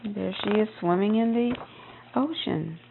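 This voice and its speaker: A woman reading a children's picture book aloud in an expressive storytelling style, with emphasis on repeated phrases. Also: medium pitch, unhurried, vocal fry, phone-quality sound, slight background noise. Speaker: storybook reader.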